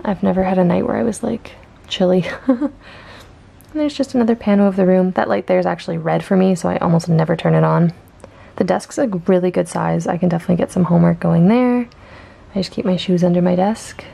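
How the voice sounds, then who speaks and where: Young woman from Canada, student vlogger; upbeat, chatty walkthrough, strong fry, mellow tone, handheld camera. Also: fairly low pitch, a little fast. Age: young adult. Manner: chatty, upbeat, with filler ums and small laughs. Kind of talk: casual walkthrough narration. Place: outdoors on campus, then indoors in a dorm room.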